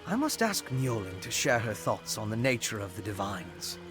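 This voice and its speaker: A man playing a curious, excitable, cheerfully condescending gentleman investigator in a video game, erudite and theatrical. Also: lowish voice, moderate pace. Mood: lecturing, curious. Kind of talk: video game character voice acting. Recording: game music behind.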